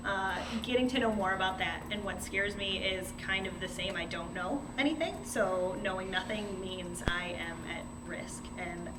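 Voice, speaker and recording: A woman's voice: medium pitch, moderate pace, few pauses. Woman in a noisy, echoey room. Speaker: classroom assistant. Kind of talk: informal round-table introduction. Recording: roomy, distant mic, loud room noise.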